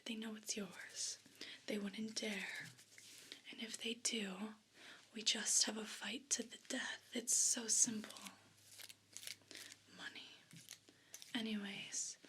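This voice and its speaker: Woman acting as snooty possessive cat, breathy whisper, flat intonation, slow condescending drawl, ASMR role play, complaining. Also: medium pitch, slight background noise. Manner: slow, deliberate, condescending, disdainful. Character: haughty, possessive, complaining evil pet cat.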